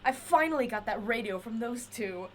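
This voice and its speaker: A high, lively female voice with faint noise behind it. An actress plays an eccentric inventor in an audio drama, eager and self-justifying. She rambles and coaxes as if to a companion who will not answer. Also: moderate pace, close to an everyday voice. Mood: triumphant. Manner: rambling, wheedling, talks to a silent companion.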